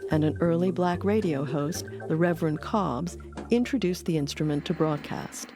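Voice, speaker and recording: A woman narrates a documentary in a low-pitched voice, reading from a script as its host. Music plays clearly behind her. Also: moderate pace.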